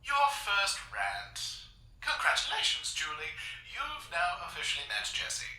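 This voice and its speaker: A man's voice: fairly high pitch, huge pitch swings, unhurried, pushed and bright, echoey room, heavily acted. A man playing a dry, wry spaceship crew member in a sci-fi comedy audio drama.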